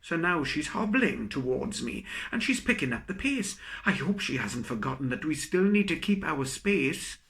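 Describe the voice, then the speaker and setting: A man reciting his own comic poem in a theatrical, expressive storytelling manner. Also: fairly high pitch, normal speed, few pauses. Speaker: poet reading own work. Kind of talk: dramatic comic poetry recital.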